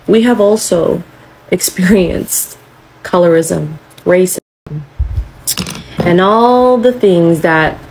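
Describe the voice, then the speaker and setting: A woman's voice: fairly low pitch, slight background noise, poor audio. A young woman giving a blunt, scolding, sweary response to camera as a social media creator. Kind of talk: direct-to-camera video response.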